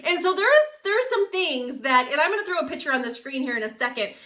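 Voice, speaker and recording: Bright, high-voiced chatty woman in her forties. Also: fast. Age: 40s. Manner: chatty, animated, laughs often. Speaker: vlogger. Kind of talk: casual talk to camera.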